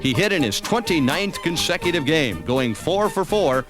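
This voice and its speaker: Animated American male narrator, high-pitched, over music. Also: normal speed. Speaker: documentary narrator. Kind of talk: scripted narration of a baseball season recap. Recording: music under the voice. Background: background music.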